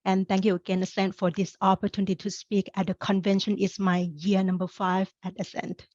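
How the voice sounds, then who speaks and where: A woman's voice: mid-range voice, level tone, brisk, darkish tone, no echo, dull audio. A middle-aged woman from Thailand, a career coach presenting a webinar, speaking in an upbeat, encouraging way straight to her audience.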